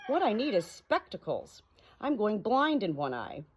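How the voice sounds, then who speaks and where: A woman's voice: mid-range voice, big pitch swings, measured pace, breathy edge, lo-fi sound, voice pushed up. A woman voicing an aggrieved male plow horse with a matter-of-fact, complaining manner for a storybook.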